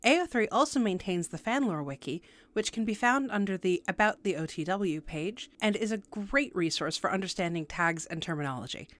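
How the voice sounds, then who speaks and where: A woman's voice: medium pitch, animated, fairly quick, few pauses, a bit bright. A woman hosting a video tutorial, reading scripted explainer narration in a precise, instructional way with wry asides.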